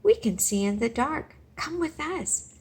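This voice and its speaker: Woman as a slow, assured mother bat. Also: sits high, lively intonation, breathy edge, pitched above the performer's own voice, exaggerated voice. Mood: reassuring. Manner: reassuring, gently commanding. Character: mother bat, loving, assured, guiding grown female.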